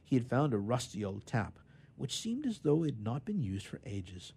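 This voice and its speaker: A man narrating a children's story in an expressive, theatrical and emphatic way. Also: mid-range voice, normal speed, vocal fry, husky.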